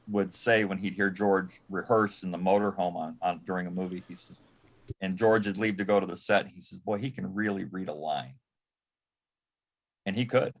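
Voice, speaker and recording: A man's voice: fairly low pitch, a bit bright. A man speaking as a panel guest, reminiscing in a conversational, anecdotal way.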